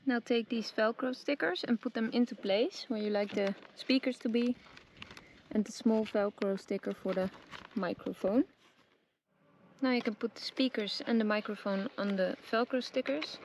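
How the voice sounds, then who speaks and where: A woman presenting a casual hands-on tutorial, giving simple step-by-step instructions with hesitant pauses. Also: a little high. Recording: clearly noisy background with clicks.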